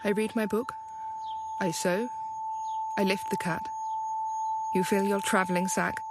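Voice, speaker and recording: A woman playing an anxious young wife, speaking slowly and lyrically. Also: mid-range voice, fairly even intonation, very noisy, lightly acted. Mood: fearful. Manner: slow, lyrical, fearful. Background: music.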